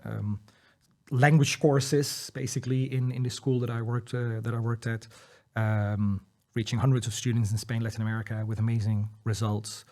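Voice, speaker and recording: A man's voice: mid-range voice, flat delivery, moderate pace, warm tone. A man whose first language is Dutch, a guest in an interview, talking earnestly and encouragingly with frequent restarts and repeated words. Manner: laughs lightly between points. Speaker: interview guest, dyslexia educator. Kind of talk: casual interview conversation.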